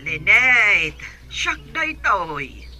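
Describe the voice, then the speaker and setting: Doting aunt with piercingly bright voice. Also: female voice, mid-range voice, very animated, extremely slow, faint music behind, full character voice. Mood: caring, advising. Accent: Ilocano. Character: kindly doting aunt, advising. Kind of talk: Ilocano radio drama acting.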